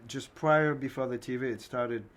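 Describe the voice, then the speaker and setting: A man's voice: medium pitch, fairly even intonation, moderate pace. Haitian-born man rambling through memories. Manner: rambling, many fillers and "you know". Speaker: interview guest. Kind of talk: casual interview, personal memories.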